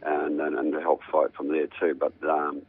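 An older man, a musician, chatting in a rambling, relaxed way as a radio guest. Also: extremely low pitch, normal speed, slightly rough, phone-quality sound. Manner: rambling, hums riffs aloud.

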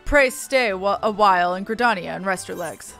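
A woman's voice: mid-range voice, moderate pace, gravelly, fairly bright tone, faint music behind. A woman voicing a hearty, effusive officer with grandiose formal speech in a fantasy game.

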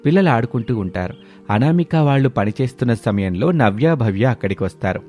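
A man's voice: mid-range voice, animated, brisk, dark tone, faint music behind. A man narrating a scripted story as the storyteller.

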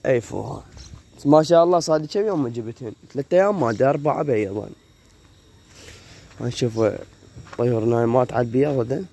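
A hoarse man with an Iraqi Arabic accent, chatting casually to viewers while filming his rooftop pigeon loft on a phone. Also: mid-range voice, fast talker, long pauses, dark tone, a little hiss or hum. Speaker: pigeon keeper vlogger. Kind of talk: casual vlog. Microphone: phone camera held while walking.

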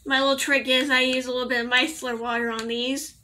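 A young woman in a casual home vlog, rambling and giggling as she corrects herself, her voice a little raspy from allergies. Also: high voice, level tone, quick pace, bright, forceful tone. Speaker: vlogger. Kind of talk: casual product review to camera. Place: small bedroom indoors.

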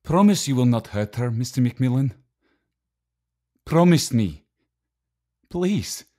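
Casting: protective immigrant father, anguished and solemn, mid-pitched voice, radio play. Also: expressive, slow, dark tone. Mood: pleading. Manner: earnest, solemn, imploring. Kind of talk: radio drama acting.

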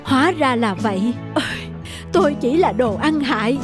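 Grumbling, stubborn peasant husband. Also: male voice, very high voice, animated, normal speed, over music. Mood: remorseful. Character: lazy, stubborn, gold-hungry young peasant husband. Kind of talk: animated folk tale acting.

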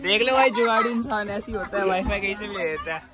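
A man's voice: high-pitched, fast talker. A young man vlogging casually on a phone, playful and teasing, often repeating his phrases. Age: young adult. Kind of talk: casual vlog chat, teasing relatives. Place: inside a crowded bus at night. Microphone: handheld phone. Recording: noisy moving bus with music and crowd. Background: music, passengers, vehicle noise.

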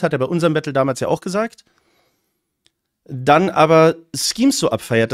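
A German-speaking man reacts to a rap battle on stream, voicing strong opinions in quick bursts. His voice sits fairly high, and the sound is dry with no room echo. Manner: opinionated, talks in fast bursts. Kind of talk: casual commentary.

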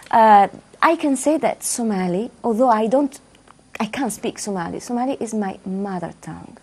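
Gravelly-voiced woman in a studio interview. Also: mid-range voice, expressive, moderate pace, dark tone. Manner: thoughtful, repeats words while searching for phrasing. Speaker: musician guest. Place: dark television studio, seated.

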